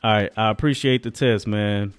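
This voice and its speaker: A man's voice: lowish voice, fairly even intonation, moderate pace, clear, resonant tone, dry recording. A man vlogging a casual product test, talking colloquially and straight to his viewers. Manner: colloquial, addresses viewers directly. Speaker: vlogger, gadget tester.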